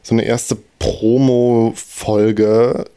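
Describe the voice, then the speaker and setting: A man's voice: medium pitch, flat delivery, very slow, warm tone, clear, resonant tone. A man hosting a casual podcast chat, talking in loose, hesitant sentences with many restarts. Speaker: podcast host.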